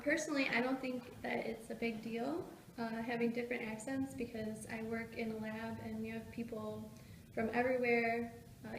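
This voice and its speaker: Grad student woman in her twenties, flat even voice, matter-of-fact, roomy echo. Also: a little high, a little hiss or hum. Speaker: graduate student. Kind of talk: interview answer. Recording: echoey room.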